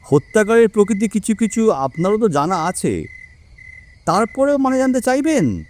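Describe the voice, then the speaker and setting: An actor playing an assured, dryly teasing detective in an audio story. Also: male voice, high-pitched, sing-song, moderate pace, darkish tone. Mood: firm.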